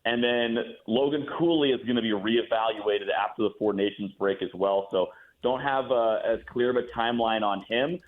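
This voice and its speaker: American sportscaster on a phone-like line. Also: male voice, medium pitch, normal speed. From Midwestern United States. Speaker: radio play-by-play announcer, guest. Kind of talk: casual sports interview.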